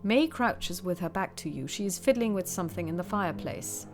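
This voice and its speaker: A woman's voice: on the low side, expressive, brisk, faint music behind. A woman reading a solo horror adventure aloud and talking through her choices. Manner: reads passages steadily, then chats about her choices. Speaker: solo tabletop game player. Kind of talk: reading a gamebook aloud with asides.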